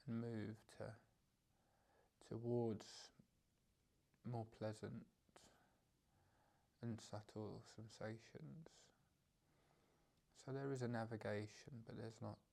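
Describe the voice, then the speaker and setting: A man teaches a guided meditation in a dark, relaxed voice with plenty of creak. He feels his way through the phrases unscripted, leaving gentle pauses between them. The pitch sits in a middling male register and stays fairly even.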